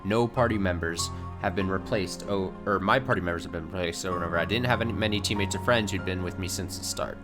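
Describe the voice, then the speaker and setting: A man with a lowish voice streams his reactions over clearly audible game music. He switches between reading dialogue aloud and casual, sweary commentary, with an incredulous manner. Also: fast talker, hardly any pauses. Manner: sweary, incredulous, often laughing. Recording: game music playing behind. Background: video game cutscene music.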